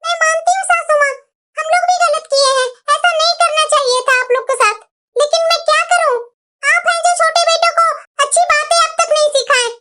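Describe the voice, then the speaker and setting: A woman acts out an outspoken young daughter-in-law in a cartoon moral drama. The voice is extremely high and sharp. She speaks in a pleading, argumentative way, as if lecturing her elders. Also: fairly quick. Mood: reproachful. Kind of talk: cartoon family drama dialogue.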